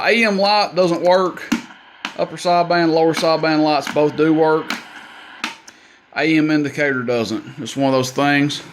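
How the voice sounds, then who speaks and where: A man giving a casual, plain-spoken product demonstration of equipment, with folksy, hesitant wording. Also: a little high. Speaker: radio seller demonstrating equipment. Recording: amateur video with clear background noise.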